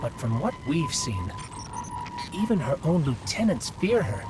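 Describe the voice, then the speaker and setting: A man's voice: on the high side, sing-song, unhurried. A man voicing a small, earnest robot companion in a video game, speaking in an inquisitive, conversational way. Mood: thoughtful, uneasy. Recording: very noisy game ambience behind.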